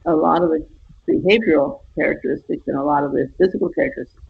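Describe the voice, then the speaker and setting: Woman author being interviewed, raspy creaky voice, halting explainer, kinda muffled lo-fi audio. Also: low-pitched, fairly even intonation. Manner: halting, repeats and rephrases to explain. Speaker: interview guest, science fiction author. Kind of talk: casual, explanatory interview talk.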